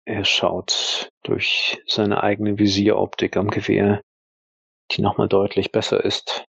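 A man's voice: fairly low pitch, completely flat delivery, slow pace, vocal fry, fairly bright tone, slightly rough, no room sound. A man playing in a roleplay audio drama, narrating his character's actions in improvised third-person phrases.

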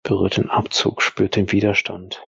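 A man narrating an audio drama in a dramatic scripted style, husky and gravelly, with terse, weighty phrases and pauses. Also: lowish voice, monotone, a bit bright, no room sound, muffled recording.

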